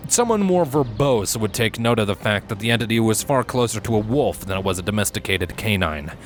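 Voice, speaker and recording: A man's voice: fairly low pitch, lively intonation, brisk, a little hiss or hum. A man reading a scripted fantasy story aloud as its narrator. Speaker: fan fiction reader, narrator. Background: background music.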